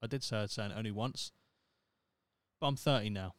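A man's voice: on the low side, fairly quick, dry recording. A thirty-year-old man, rambling on his solo podcast in a wry, self-mocking way with plenty of swearing. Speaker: podcast host. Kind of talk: casual solo podcast monologue.

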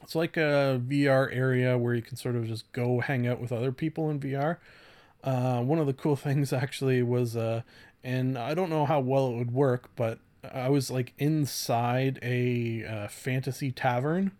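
A man in his thirties hosting a casual solo podcast, talking in a halting, rambling way with offhand swearing and frequent self-corrections. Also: medium pitch, steady tone, a little mellow. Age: 30s. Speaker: podcast host.

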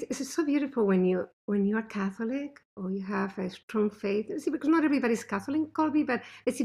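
A woman with a thick non-native accent, a mother telling animated stories as an interview guest. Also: on the high side, gravelly. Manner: animated, emphatic storytelling. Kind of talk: conversational interview.

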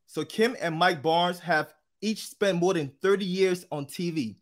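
A man's voice: high voice, unhurried. A man hosting an interview podcast, upbeat and chatty, with frequent fillers and restarts. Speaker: podcast host.

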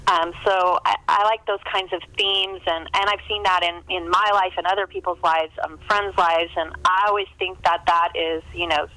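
A woman speaks as a guest in a casual interview about writing. Her voice is bright and forward, recorded dry with little room sound. She rambles chattily and slips into quoting imagined lines. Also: lowish voice, fairly even intonation, normal speed, hardly any pauses, dry recording, rough recording.

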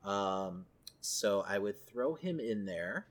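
A man's voice: on the low side, expressive, slow pace. A man co-hosting a podcast, chatting casually and thinking aloud as he goes. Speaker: podcast co-host. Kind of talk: casual chat about comic book films.

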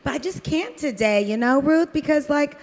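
A woman's voice: high voice, expressive, normal speed, a little mellow, pitched above the performer's own voice. A woman playing a lonely, put-upon waitress in a radio comedy, with a rambling, hesitant, self-deprecating manner. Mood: reluctant. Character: lonely, put-upon drive-in waitress who loves her cat.

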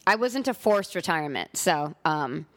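Young woman, slight lisp, lowish voice, dry deadpan co-host. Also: normal speed. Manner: dry, self-deprecating. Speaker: podcast co-host. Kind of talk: casual chat.

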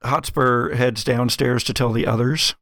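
Very creaky man running a tabletop game. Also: medium pitch, steady tone, measured pace, fairly bright tone, no echo, clean studio sound. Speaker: game master. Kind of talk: narrating a tabletop roleplaying game.

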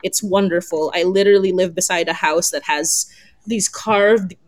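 A young woman with a slightly low voice talks casually among fellow podcasters. She is giggly and eager, gushing as she goes. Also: normal speed. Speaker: fiction podcast creator and guest. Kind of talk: casual group chat.

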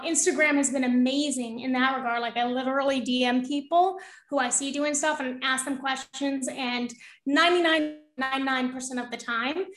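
A young woman with a high, bright-sounding voice talks in a bubbly, encouraging way, breaking into laughs as she chats. She is a guest on a podcast, and her voice rings out in a noticeably echoey room. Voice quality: high-pitched voice. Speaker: podcast guest, real estate investor. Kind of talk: casual interview conversation.

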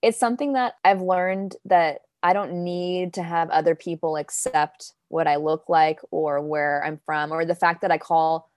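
A woman's voice: fairly low pitch, steady tone, a little slow, few pauses, a little mellow. A young woman poet from Denmark chatting in an interview, with lots of fillers and frequent laughs. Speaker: guest poet. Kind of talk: casual interview chat. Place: New York.

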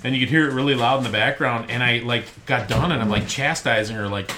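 A man's voice: medium pitch, fast talker, bright, forceful tone, a little hiss or hum. A middle-aged man hosting a podcast from home, chatting casually and rambling with self-mocking humor. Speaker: podcast host. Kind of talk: casual chat. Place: home recording room.

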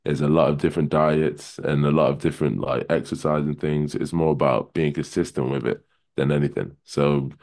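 A man with a remarkably low, deep voice, speaking as a guest on a casual podcast. He talks loosely and at length, often saying "kinda" and "you know" as he works through his points. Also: fairly quick. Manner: relaxed, rambling, many "kinda" and "you know".

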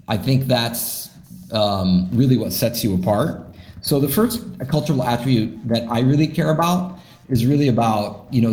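A man's voice: medium pitch, a little mellow. A man, a startup founder, answering interview questions in a thoughtful way, with many fillers and restarts. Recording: roomy echo.

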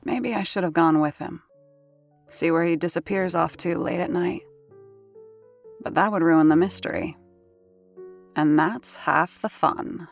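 A wry, worldly woman in an audio play. Her voice is on the low side, dry and knowing, with music clearly playing behind her. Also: measured pace, pauses often. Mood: dry, musing. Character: wry, worldly woman, teasing and a little jealous. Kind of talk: audio drama acting.

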